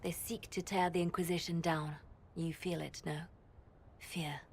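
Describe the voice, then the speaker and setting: A quiet, scheming spymaster. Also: female voice, lowish voice, little pitch movement, a little hiss or hum. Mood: measured. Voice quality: soft, measured, quietly conspiratorial. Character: composed, scheming spymaster woman.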